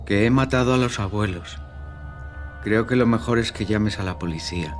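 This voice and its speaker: A man playing a disturbed, grimly apologetic teenage boy in a radio drama, halting and subdued. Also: on the low side, soft background music, lightly acted. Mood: numb, lost.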